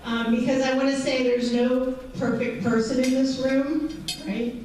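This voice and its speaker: High-voiced woman counselor in an echoey hall. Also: level tone, measured pace, darkish tone. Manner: conversational, frequent um and right. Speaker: mental health counselor. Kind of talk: presentation to a patient group. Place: large echoey event room. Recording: echoey hall, distant mic, faint noise.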